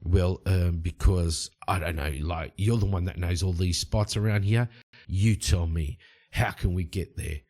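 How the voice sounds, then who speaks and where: Terse male adventurer voiced by a storyteller, low-key and questioning, pitched down, all business. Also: steady tone, a little slow, darkish tone. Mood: businesslike.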